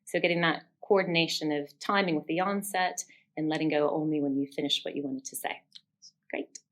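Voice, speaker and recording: A woman with a low voice explains a technique step by step in the manner of a speech therapist. She is encouraging and affirming as she instructs. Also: fairly even intonation, brisk.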